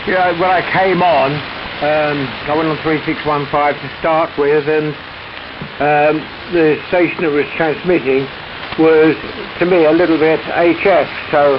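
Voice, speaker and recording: An older man chatting casually and reflectively as an amateur radio operator over a shortwave radio transmission. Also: on the high side. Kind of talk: reminiscing casual radio chat. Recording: muffled shortwave radio with static.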